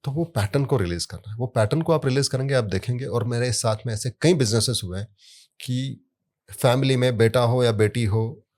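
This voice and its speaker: A man explaining sound therapy in a relaxed podcast conversation, telling stories from his work and leaning hard on key words. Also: medium pitch, normal speed. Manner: storytelling with anecdotes, emphatic rises on key words.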